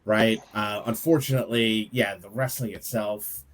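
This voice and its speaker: A man's voice: on the low side, fairly even intonation, normal speed. A man co-hosting a wrestling podcast in casual chat, talking hesitantly and restarting often. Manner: hesitant, many fillers and restarts. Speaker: podcast co-host.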